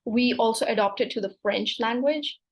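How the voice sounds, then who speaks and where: A woman's voice: a little high, normal speed. A woman in her twenties from Hong Kong, a PhD student chatting as a guest on a video call, with frequent "like" fillers. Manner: chatty, fills with "like". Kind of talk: casual interview chat.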